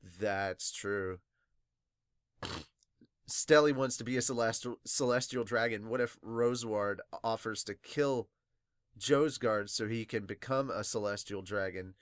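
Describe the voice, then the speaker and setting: A man hosting a fan reaction podcast in a casual, excitable way with some swearing, sounding a little congested and sniffly. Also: fairly low pitch, unhurried, dry recording, studio quality. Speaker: podcast host reviewing manga. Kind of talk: casual fan reaction, reading viewer comments aloud.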